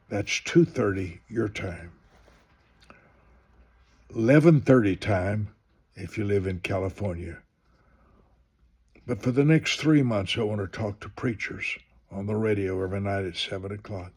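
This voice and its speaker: An older man in his seventies speaks in a somewhat low, husky voice. He is a minister announcing a live stream, wandering from point to point in an unhurried, conversational delivery. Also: darkish tone. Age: 70s.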